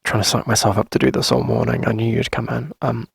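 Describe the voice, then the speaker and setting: Guy acting as shy smitten coffee shop owner, asmr roleplay, really husky and crackly, soft and halting, super close dry sound. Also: medium pitch, little pitch movement, no room sound, full character voice. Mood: nervous, tender. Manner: hesitant, soft-spoken, breathy, nervous little laughs.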